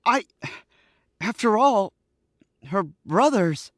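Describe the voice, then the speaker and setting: A man's voice: high-pitched, animated, slow pace, a bit bright, no room sound, studio quality, exaggerated voice. A man acting in an old-time radio drama as a nervous, defensive young accomplice who speaks hesitantly. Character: jittery, guilt-ridden young accomplice, weak and defensive.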